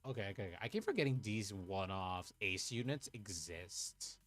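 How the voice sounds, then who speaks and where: A man's voice: low-pitched, animated, moderate pace, no room sound. A young man from Puerto Rico, chatting casually on a livestream while he plays a card game, thinking aloud, swearing and muttering to himself. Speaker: streamer playing an online card game. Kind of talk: casual livestream chat and play commentary.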